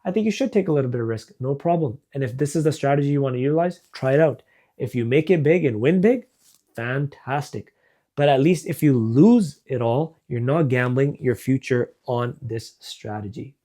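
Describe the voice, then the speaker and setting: A man working as a financial advisor, giving friendly, measured explanations straight to camera and walking through worked examples. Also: medium pitch, warm tone. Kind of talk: direct-to-camera advice video.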